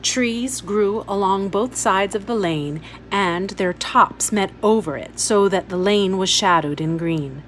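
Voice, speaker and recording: A woman's voice: mid-range voice, a bit bright, slight background noise. A woman reading a story aloud expressively, as a teacher would for pupils. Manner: instructive, pausing to point out details.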